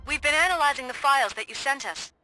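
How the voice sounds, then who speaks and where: An actress playing a tough woman police detective, talking in a brisk, punchy, outspoken way. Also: sits high, bright, forceful tone, soft background music, poor audio. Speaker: police officer, the hero's partner.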